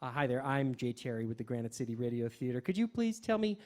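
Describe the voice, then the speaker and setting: A man hosting a radio variety show with semi-scripted comedy, speaking haltingly and often repeating his words. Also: medium pitch, very animated, a little mellow.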